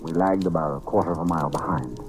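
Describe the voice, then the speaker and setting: An actor playing a shrewd American investigator in an old-time radio detective drama, speaking in a clipped, decisive, matter-of-fact way. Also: male voice, extremely low pitch, quick pace, over loud music. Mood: grim storytelling. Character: hard-boiled American male investigator, shrewd and decisive, narrating his case. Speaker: lead actor and narrator.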